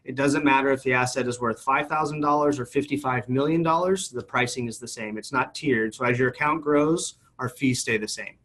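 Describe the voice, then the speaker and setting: Male financial representative, mid-range voice, quick pace, definite and informative delivery in a question-and-answer interview. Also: medium pitch, fairly bright tone. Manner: matter-of-fact, definite, informative. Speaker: retirement account company representative.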